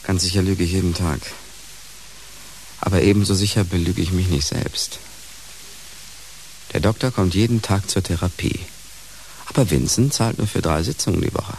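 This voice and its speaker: An actor in a radio drama playing an insecure, secretive man who speaks haltingly. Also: sits low, darkish tone, slight background noise, rough recording. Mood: secretive, reflective. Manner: hesitant, halting. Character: insecure, secretive man in hopeless love with his doctor. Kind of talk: radio drama acting, with inner monologue.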